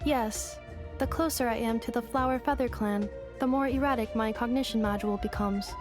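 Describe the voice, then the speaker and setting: A woman voicing a calm female android in a game, speaking in a flat, formal and precise way. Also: a little high, moderate pace, over music, close to an everyday voice. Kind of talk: game voice acting.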